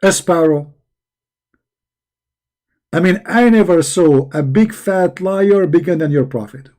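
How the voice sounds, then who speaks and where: A hoarse man who is losing his voice, giving a sarcastic, scornful commentary. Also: on the high side, takes it slowly, mellow. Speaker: religious critic. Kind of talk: mocking video commentary.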